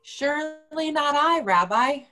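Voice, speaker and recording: Bright, forceful woman reading scripture. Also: high-pitched, lively intonation, slow pace. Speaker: church lay or clergy reader.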